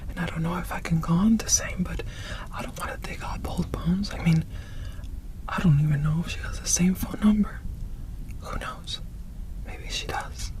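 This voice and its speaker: A woman doing ASMR, whispering and softly singing lyrics in a hushed, breathy, gentle way, close to the microphone. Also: lowish voice. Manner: slow, gentle, hushed delivery. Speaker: ASMR performer.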